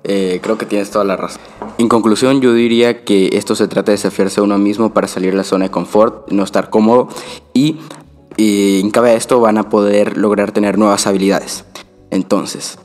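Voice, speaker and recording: A man's voice: lowish voice, fairly even intonation, moderate pace, darkish tone. A young man from Venezuela co-hosting a podcast, talking conversationally about self-improvement. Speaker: podcast co-host. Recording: long reverb, music behind the voice. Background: music.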